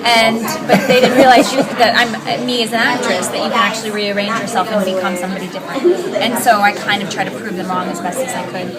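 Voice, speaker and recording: An actress from Afghanistan talking in an animated, chatty way in an interview, her first language Afghan Farsi. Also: medium pitch, fast talker. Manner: animated, chatty, laughs easily. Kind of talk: promotional interview. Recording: loud crowd chatter in a hall.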